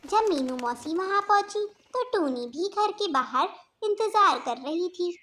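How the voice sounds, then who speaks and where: High-pitched female story narrator. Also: animated, unhurried, fairly bright tone. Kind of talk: scripted children's story narration.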